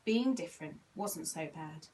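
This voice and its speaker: A woman reading a children's storybook aloud in an expressive, animated way, acting out the dialogue. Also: low-pitched, a little slow, recorded from a distance, a little hiss or hum. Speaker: volunteer story reader.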